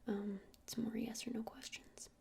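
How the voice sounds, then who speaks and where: A woman whispering softly and breathily in an ASMR roleplay as a friendly witch shopkeeper, explaining things in a gentle, hesitant, rambling way. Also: on the high side, flat delivery, normal speed. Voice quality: breathy unvoiced whisper with creaky edges. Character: friendly witch shopkeeper selling crystals and incense. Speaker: ASMR roleplayer playing a crystal shop witch.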